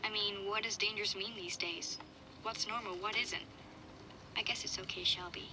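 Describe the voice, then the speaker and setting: A woman playing a wary, reflective young girl who narrates an audio diary in a measured, matter-of-fact way. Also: mid-range voice, steady tone, background noise, natural voice. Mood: wistful, uneasy. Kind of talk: first-person audio log narration.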